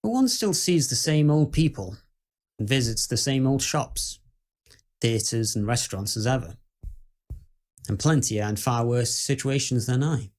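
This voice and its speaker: A man acts out a genteel English gentleman narrating an audio ghost story. He is British, formal and unruffled, with a dry wit and a medium-pitched voice. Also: lively intonation, lightly acted. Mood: wry, unruffled. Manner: formal, wry, measured. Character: genteel, unruffled English heir recounting his haunted family house. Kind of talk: scripted ghost story monologue.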